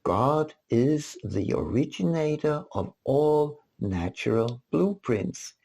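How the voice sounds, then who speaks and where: A man reading his own book aloud as scripted narration, in a deliberate, expository way. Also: medium pitch, animated, vocal fry, darkish tone, breathy edge. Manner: deliberate, rhetorical questioning.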